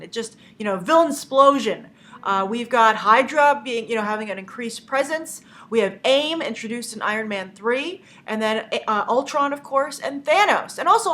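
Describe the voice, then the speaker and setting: Young female movie news host, congested from a cold, tense bright tone, a little high, loose and chatty with frequent fillers. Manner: chatty, many "uh" fillers, opinionated. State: stuffy from a cold. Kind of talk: casual news commentary.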